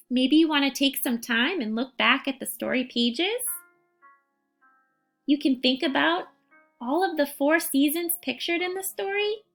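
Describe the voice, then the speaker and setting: Woman doing a kids story read-aloud, high voice with some creak, music behind her, careful phrasing. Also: a bit bright. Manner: deliberate, careful phrasing addressed to young children. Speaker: teacher reading a picture book to children. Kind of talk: children's read-aloud and gentle instruction.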